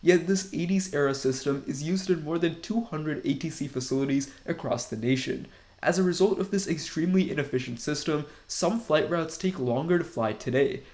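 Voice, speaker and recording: A young man reads a scripted aviation explainer in a measured, informative way. His voice sits a little higher than most men's. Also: a little mellow. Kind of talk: scripted narration.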